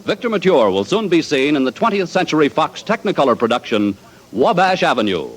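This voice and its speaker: A man's voice: a little high, sing-song, noisy background. Formal vintage network announcer man. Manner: formal, measured sign-off. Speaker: radio announcer. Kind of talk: 1940s radio announcing.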